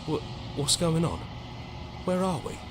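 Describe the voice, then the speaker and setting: A man's voice: on the high side, big pitch swings, a little slow, background noise. An actor playing a haughty, anxious male inner voice in a horror game, theatrical and easily dismayed. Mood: alarmed.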